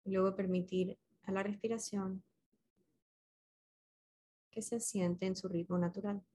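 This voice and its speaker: A woman leading a guided meditation as a facilitator, speaking gently and unhurriedly with pauses between short instructions. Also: medium pitch, steady tone.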